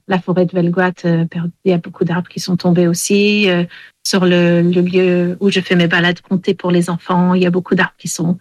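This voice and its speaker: A woman's voice: lowish voice, no echo. Hesitant woman, native English speaker. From Wales. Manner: hesitant, many fillers. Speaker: storyteller and singer. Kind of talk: interview.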